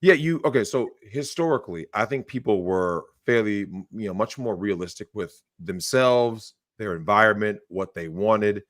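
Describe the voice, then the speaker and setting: A man's voice: on the low side, animated, moderate pace, few pauses, no room sound, studio quality. A man giving blunt opinions on a livestream, talking emphatically, swearing and acting out the people he quotes. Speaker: guest.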